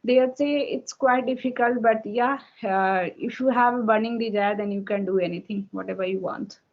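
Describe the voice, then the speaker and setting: A woman in her thirties from India, a native Hindi speaker with an Indian English accent, answering questions in a halting practice conversation full of fillers over an online call. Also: fairly high pitch. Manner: halting, many fillers and restarts. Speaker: English learner, homemaker. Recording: dull lo-fi call audio.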